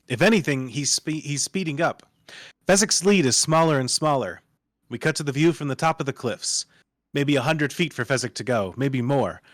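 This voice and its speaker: A man's voice: mid-range voice, a bit bright, dry recording, studio quality. A man reading stage directions as dramatic scripted narration at a live table read.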